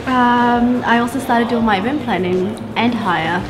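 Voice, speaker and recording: A young woman chats easily and playfully, laughing often. Her voice sits fairly high. Music plays under her. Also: unhurried, low-quality recording. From New Zealand. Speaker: vlogger answering interview questions. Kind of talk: casual chat. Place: bright café by large windows. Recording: music playing under the talk.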